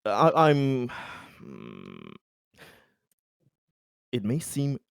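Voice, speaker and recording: Male tabletop player, animated mid-range voice, casual and sweary, very dry sound. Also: medium pitch, very slow, creaky, smooth, no echo. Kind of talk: casual game table chat.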